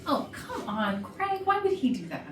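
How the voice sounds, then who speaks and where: A woman's voice: high voice, sing-song, moderate pace. A woman acting a flirty, catty, self-satisfied HR manager in an arch, sarcastic way at a comedy staged reading. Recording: noisy roomy rehearsal, far from mic.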